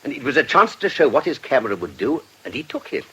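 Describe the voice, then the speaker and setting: A man's voice: medium pitch, sing-song, fast, a bit bright, husky, full character voice, poor audio. A man playing a genial, erudite medico-legal investigator with a British accent, precise and drily amused, in a radio drama. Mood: musing, absorbed. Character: urbane, genial male medico-legal investigator, self-satisfied.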